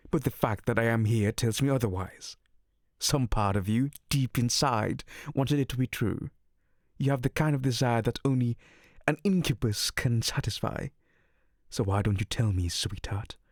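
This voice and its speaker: Male VA as a sarcastic incubus demon for ASMR audio, slow and gravelly, dry close mic. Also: medium pitch, a little mellow, dry recording. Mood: matter-of-fact, then seductive, teasing. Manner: theatrical, sarcastic and exasperated. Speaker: ASMR roleplay voice actor.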